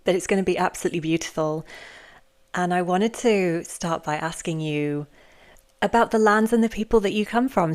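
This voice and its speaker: A woman hosting a podcast and asking interview questions with eager curiosity, speaking in quick bursts. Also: on the low side, darkish tone. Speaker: podcast host.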